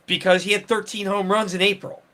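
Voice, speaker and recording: A man's voice: sits high, level tone, fairly quick, a bit bright. A man hosting a casual sports talk show, rambling with many restarts and fillers. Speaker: sports podcast host.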